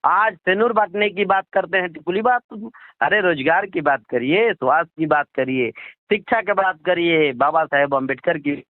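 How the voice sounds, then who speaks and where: A man's voice: on the high side, normal speed, dry recording. An Indian man, speaking indignantly in a political phone interview, repeating himself and posing rhetorical questions. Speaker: political activist being interviewed. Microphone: phone call. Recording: muffled lo-fi phone call recording.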